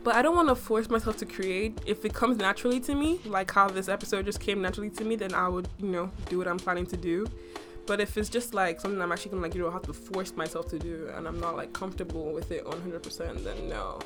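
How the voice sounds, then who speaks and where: A young adult woman hosting a casual solo podcast, her voice slightly raspy from a cough, chatty and full of fillers with playful boasting. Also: medium pitch, fast, over music. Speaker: podcast host.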